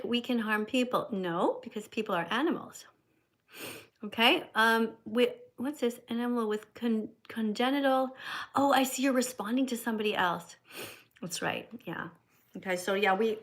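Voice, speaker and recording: Bright-voiced woman hosting a livestream. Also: fairly high pitch. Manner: chatty, reads viewer comments and thanks donors. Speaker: livestream host. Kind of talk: casual livestream chat.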